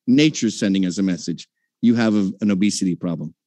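A man's voice: low-pitched, quick pace, dark tone. A man arguing in a heated debate, combative and mocking, with frequent profanity.

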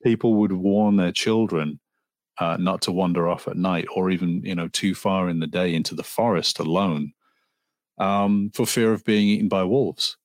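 A man in his forties with a Liverpool accent and a low, deep voice, telling old folk legends as a guest. He talks at an easy pace, loops back with ums and restarts, and the sound is dry and close with no room behind him. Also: steady tone, moderate pace, dry recording. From Liverpool, England. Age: 40s. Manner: storytelling, with frequent ums and restarts. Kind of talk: conversational interview.

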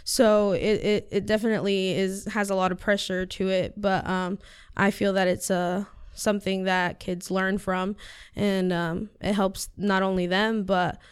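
A woman's voice: medium pitch, little pitch movement, a little slow, clear, resonant tone. A teenage girl whose first language is Spanish, answering interview questions earnestly, with many fillers and restarts. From United States. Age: teens. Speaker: high school student guest.

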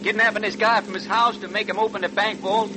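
An actor playing an excitable gangster getaway driver in a 1940s radio crime drama. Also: male voice, sits high, fast, lightly acted. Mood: excited. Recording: noisy old 1940s radio recording.

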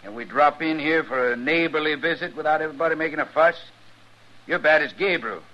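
An actor playing a mean, sly old homesteader with rustic folksy talk in a radio western. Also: male voice, fairly high pitch, a little fast, pushed and bright. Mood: defensive, grumbling. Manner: rustic folksy drawling grammar. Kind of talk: 1950s radio western acting. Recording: vintage radio, dull, faint noise.

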